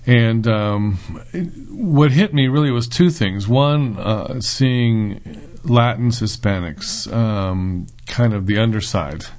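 Soft-spoken middle-aged man, low voice. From United States. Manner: thoughtful, with frequent "uh" and "um" pauses. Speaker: guest, seminary professor. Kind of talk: relaxed interview conversation.

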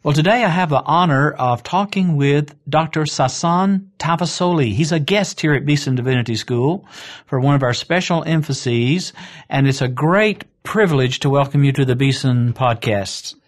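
Courteous podcast host, a bit higher-voiced. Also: male voice, moderate pace. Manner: courteous, formal, asks guided questions. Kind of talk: interview hosting.

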